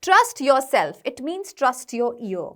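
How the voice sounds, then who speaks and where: A high-voiced woman with an Indian accent gives a classroom-style English lesson. She explains point by point with firm emphasis, often repeating herself and checking that learners are following. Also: big pitch swings, very slow. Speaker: English exam-prep teacher.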